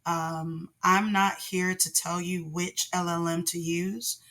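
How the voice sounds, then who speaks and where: A woman hosting a casual tutorial vlog, hoarse from laryngitis, with a naturally deep voice and a rambling way of talking full of ums. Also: little pitch movement, takes it slowly, a bit bright. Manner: rambling, with many ums and restarts. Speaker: channel host, product designer.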